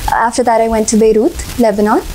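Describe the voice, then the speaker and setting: A woman's voice: a little high, fairly even intonation, quick pace, dark tone, slight background noise, rough recording. Polite young woman, American accent. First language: Hindi. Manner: polite, says sir often, frequent uh fillers, light laughs. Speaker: interview candidate. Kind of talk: formal mock interview answers.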